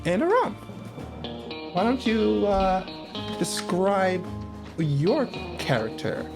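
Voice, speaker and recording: A man acting as game master, improvising a scene for players in an animated, conversational and emphatic way. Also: sits high, takes it slowly, gravelly. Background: loud plucked guitar music.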